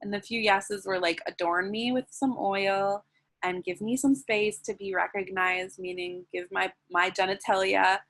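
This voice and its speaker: A woman interviewed as a guest, talking in a soft, flowing way with many "like"s and "um"s, then leading a guided breathing practice. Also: mid-range voice, steady tone, measured pace. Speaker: guest, sensual wellness teacher.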